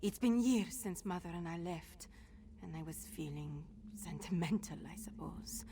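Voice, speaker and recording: An actress playing a bitter, defiant cat-folk rogue in a fantasy game, sardonic and theatrical. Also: female voice, fairly low pitch, moderate pace, mellow, a little hiss or hum, natural voice.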